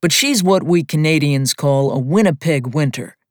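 A narrator voicing a steady, gruff male pilot in charge, in an audiobook. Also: mid-range voice, moderate pace, no room sound, studio quality, natural voice. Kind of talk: audiobook character voice.

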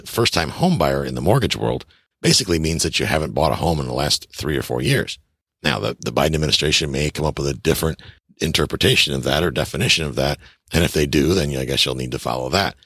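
A man's voice: very deep voice, a bit bright, breathy edge, no room sound, studio quality. A man in his fifties hosting a podcast, giving blunt, chatty advice on real estate and taxes straight to his listeners. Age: 50s. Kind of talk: casual advice monologue.

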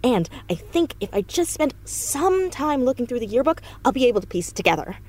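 A woman's voice: a little high, very animated, brisk, darkish tone. A woman acting a confident, precocious fourteen-year-old American girl detective in an audio drama, earnest and articulate. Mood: intent, confident. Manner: earnest, articulate, rattles off deductions in long sentences.

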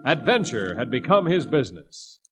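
A man's voice: medium pitch, sing-song, moderate pace, a crowd in the background. A man announcing a 1940s radio drama in a dramatic scripted style. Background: organ music.

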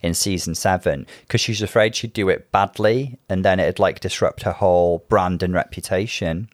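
A man co-hosting a podcast, giving thoughtful, measured opinions on a TV show and laughing often. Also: sits low, fairly even intonation, dry recording, clean studio sound. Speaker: podcast co-host. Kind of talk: casual chat reviewing a TV show.

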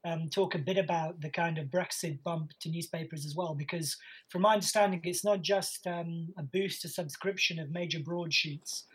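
High-voiced husky man, joking. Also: steady tone, normal speed, poor audio. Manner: joking, hesitant with many ums and likes. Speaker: podcast co-host. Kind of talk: casual chat.

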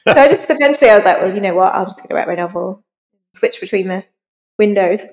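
A woman's voice: medium pitch, expressive, quick pace. A woman speaking as an interview guest, informal and self-deprecating, telling personal anecdotes in a conversational way. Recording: long reverberant hall echo.

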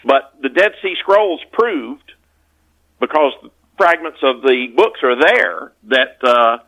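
A man's voice: medium pitch, animated, a bit bright, low-quality recording. A man speaking as a guest author in an interview, explaining conversationally and often stopping to correct himself. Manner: halting, with repeated self-corrections.

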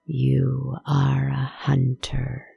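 An ominous old fortune teller breathes her words in a slow, hissing whisper, as a storyteller puts her on. The voice is low, dark and gravelly, with a husky, airy edge. It is recorded close and dry. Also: monotone, no room sound, dull audio, faint music behind, exaggerated voice. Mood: intense.

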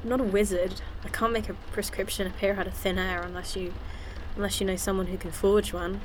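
An actress playing an anxious, sardonic woman scientist in an audio drama, with a halting, dry, self-deprecating delivery. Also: medium pitch, level tone, background noise, natural voice. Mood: dry, resigned.

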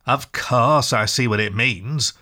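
A man's voice: mid-range voice, slow pace, sharp tone. A man voicing a fussy, stubborn small male mole in a storybook reading, speaking in a plaintive, grumbling way. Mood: pettish.

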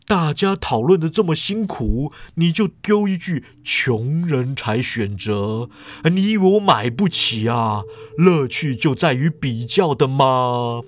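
A man voicing a calm, know-it-all male professor who lectures in a matter-of-fact way. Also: medium pitch, unhurried, close to an everyday voice. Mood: lecturing.

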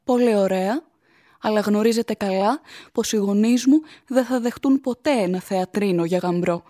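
A woman's voice: medium pitch, warm tone, dry recording, clean studio sound, lightly acted. A woman playing a pious, anxious young fiancée in a radio drama, speaking earnestly and formally with tender reproach. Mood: doubtful.

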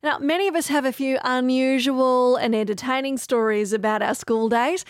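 Higher-voiced woman podcast host. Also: fairly even intonation, moderate pace, clean tone, no room sound, studio quality. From Australia. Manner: friendly, curious interviewer. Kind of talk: podcast interview and narration.

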